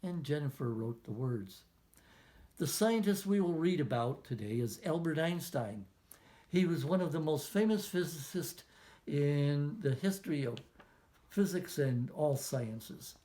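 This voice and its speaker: This is a soft-toned, mellow voice reading a picture book aloud to young children. The delivery is slow and gentle, pausing for simple explanations. Musing side remarks and questions to the listener are woven into the storytelling. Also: mid-range voice. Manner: musing asides and rhetorical questions.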